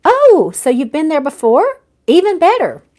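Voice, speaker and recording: A woman voicing a compassionate, inquisitive stranger who gently encourages confidences in a romance novel. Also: high voice, wildly swooping pitch, normal speed, pitched above the performer's own voice. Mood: upbeat, curious. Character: kind, probing, compassionate stranger with past heartache.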